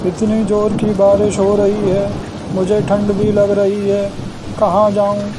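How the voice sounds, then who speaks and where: A man voicing a humble, pleading old beggar with an earnest manner in an animated moral story. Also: high-pitched, takes it slowly, background noise.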